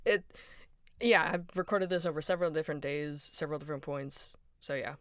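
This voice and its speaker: Tired, hoarse woman rambling at her mic. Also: sits low, fairly quick, no echo, clean studio sound. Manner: rambling, apologetic, tired, self-deprecating laughs. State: hoarse and nasal from being sick. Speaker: fan animator and voice actor. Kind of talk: casual behind-the-scenes rambling. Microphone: close home mic.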